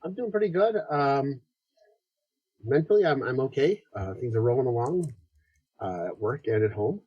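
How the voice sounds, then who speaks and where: A man's voice: medium pitch, lively intonation, moderate pace, a little mellow, slightly rough, no echo, highs cut off. A man from Buffalo, New York, co-hosting a podcast in casual chat, his voice stuffed up and nasal from a cold. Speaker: podcast co-host.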